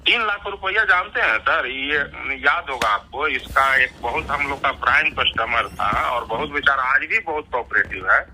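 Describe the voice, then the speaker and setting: A man with a Bihari accent talks over a telephone line in a very bright, forceful voice at middling pitch. He explains himself earnestly and deferentially, repeating words as he goes. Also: moderate pace, hardly any pauses, a little hiss or hum. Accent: Bihari Indian. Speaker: company associate on a call. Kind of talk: informal phone conversation. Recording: sharp, radio-like telephone line.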